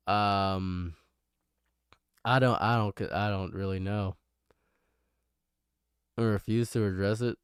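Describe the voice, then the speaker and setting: A man's voice: low-pitched, smooth, no room sound, clean studio sound. A young man with a nasal voice, rambling and self-mocking as he chats with his live stream viewers. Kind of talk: casual live stream chat.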